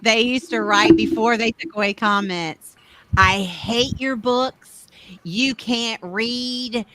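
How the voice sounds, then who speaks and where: A woman's voice: a little high, slow pace, pushed and bright. Chatty woman in a group call. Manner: chatty, quotes others in retelling. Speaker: guest. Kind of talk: casual group chat.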